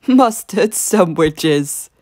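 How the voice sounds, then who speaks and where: A woman's voice: on the low side, animated, extremely slow, a little mellow, dry recording, voice pushed down, heavily acted. A woman voicing a storybook lighthouse keeper, an older man with pompous, emphatic, old-fashioned formal speech. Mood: pleased.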